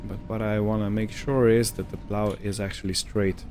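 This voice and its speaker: A man giving casual let's-play commentary on a video game, musing to himself in an unhurried way. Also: fairly low pitch, little pitch movement, darkish tone, slight background noise. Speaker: gamer narrating own farming simulator playthrough.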